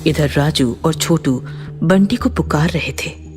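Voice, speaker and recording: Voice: mid-range voice, slow pace, a little mellow, soft background music. A narrator reading a scripted horror story.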